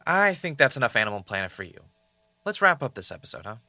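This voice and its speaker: A man playing a brooding, paranoid podcaster in an audio drama, speaking in a halting, introspective, confessional way. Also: mid-range voice, sing-song, pushed and bright, no echo, faint music behind, full character voice. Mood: earnest, wistful. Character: brooding, paranoid male podcaster searching for answers. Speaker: podcast host character and narrator. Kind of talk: audio drama monologue and dialogue.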